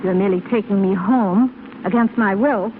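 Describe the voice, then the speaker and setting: A woman's voice: mid-range voice, normal speed, lightly acted. An actress playing a proud, guarded, indignant widowed lady in a 1930s radio drama, polite but cool. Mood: exasperated. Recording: narrow-band, faintly noisy 1930s radio broadcast.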